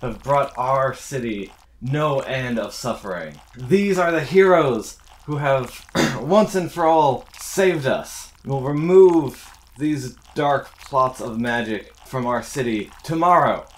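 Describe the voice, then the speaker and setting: A man's voice: medium pitch, lively intonation, slow, voice pushed up. A man voicing a grand, formal slime king who proclaims to his people in a fantasy tabletop game. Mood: grand, proclaiming. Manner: stately, declamatory.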